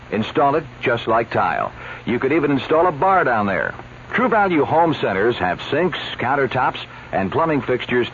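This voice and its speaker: A man reading a scripted radio commercial as a pitchman. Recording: muffled, faintly noisy old radio broadcast.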